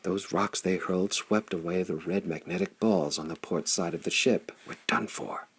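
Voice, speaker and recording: A man voicing a despairing, quietly pleading woman in an adventure story. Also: sits low, moderate pace, creaky, breathy edge, full character voice. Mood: whispered despair.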